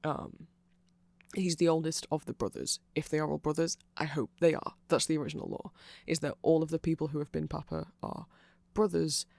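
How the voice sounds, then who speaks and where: Creaky mid pitched voice doing a rambly casual asmr explainer, opinionated, super dry close sound. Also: steady tone, brisk, a little mellow, no room sound. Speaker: content creator.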